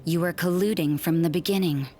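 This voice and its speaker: A woman playing a wary, sardonic nun investigator in an anime fantasy video game, speaking in a cool, dry, deliberate way. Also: on the low side, over loud music, natural voice. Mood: suspicious, accusing.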